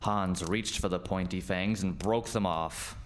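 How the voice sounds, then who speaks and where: Deep, slow-paced male storyteller. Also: steady tone. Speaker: narrator. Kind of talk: scripted spooky storytelling for radio play. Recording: faint background noise.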